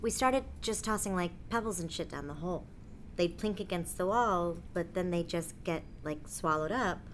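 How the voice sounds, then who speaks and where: Low, blunt American woman tenant played by an actress. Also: expressive, moderate pace, a little hiss or hum, lightly acted. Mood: eager. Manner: slangy, blunt, sweary, offhand. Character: odd, blunt female apartment tenant, obsessed with a mysterious hole. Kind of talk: naturalistic audio drama dialogue.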